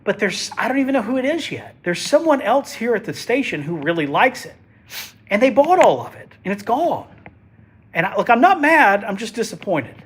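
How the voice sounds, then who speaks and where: A man's voice: high-pitched, sing-song, moderate pace, a little hiss or hum. Folksy weatherman with a head cold. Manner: folksy, chatty, joking asides. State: stuffy head cold, sniffling. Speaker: TV meteorologist. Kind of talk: casual livestream weather commentary.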